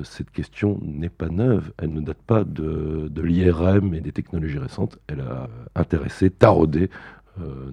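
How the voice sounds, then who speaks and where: Deep, husky-voiced male radio host. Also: dark tone. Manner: measured, formal, hesitant with fillers. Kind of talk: formal radio interview.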